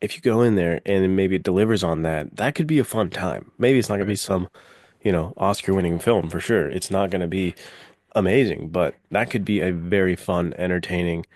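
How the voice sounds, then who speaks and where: Guy w really low voice hosting a podcast, dry close sound, casual excited movie talk. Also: brisk, dry recording. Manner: informal, peppered with "like" and "dude". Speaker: podcast host. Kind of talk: casual enthusiastic film chat.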